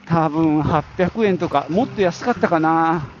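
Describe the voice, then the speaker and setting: A man's voice: on the high side, a little slow, a little hiss or hum. A middle-aged or older man chatting casually on his own as a podcast host, reminiscing in a reflective way and thinking aloud. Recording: dull lo-fi audio, prominent music. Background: music.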